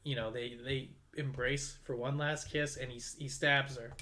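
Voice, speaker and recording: A man's voice: medium pitch, little pitch movement, normal speed, bright, forceful tone. A young man co-hosting a podcast, chatting casually in a rambling way full of fillers. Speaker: podcast co-host. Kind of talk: casual chat.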